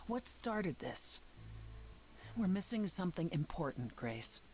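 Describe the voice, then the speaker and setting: A woman playing a grave, protective ally in a video game, speaking in a measured, intense way. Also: lowish voice, big pitch swings, a little mellow, soft background music. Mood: pressing, serious.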